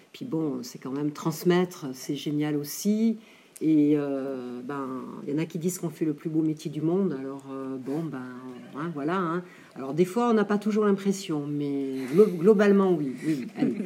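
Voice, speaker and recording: A woman's voice: deep voice, creaky, warm tone. A woman teacher whose first language is English, answering casually and hesitantly with many fillers. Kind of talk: casual spoken interview answer.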